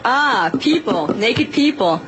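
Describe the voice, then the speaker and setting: Slow, hesitant woman contestant. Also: on the high side, lively intonation, dull audio, background noise. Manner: hesitant clue-giving with giggles. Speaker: game contestant.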